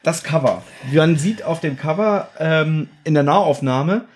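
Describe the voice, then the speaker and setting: A man hosting a casual podcast chat, teasing his co-host and telling stories in an animated way. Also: fairly high pitch, measured pace.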